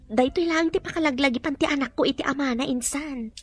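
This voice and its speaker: A woman's voice: high-pitched, fairly quick, faint music behind, lightly acted. A woman acting a tender, wistful mother comforting her child in a radio drama.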